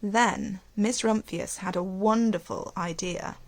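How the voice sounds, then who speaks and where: A woman teacher reading a storybook aloud to young children, expressively, with gentle questions and asides. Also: mid-range voice, steady tone, slow.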